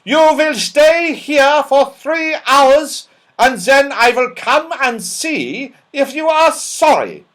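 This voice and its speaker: A fierce old schoolmistress from a children's storybook , acted out by a man. The voice is pushed high and loud, snapping out orders in a cross, commanding manner. The delivery swoops dramatically. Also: expressive, takes it slowly, full character voice. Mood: menacing, angry.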